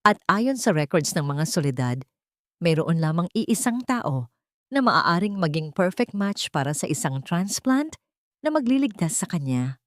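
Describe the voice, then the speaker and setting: A woman's voice: on the low side, sing-song, a little slow, dry recording, clean studio sound. A woman narrating a dramatic audio story in an earnest, emotive reading style.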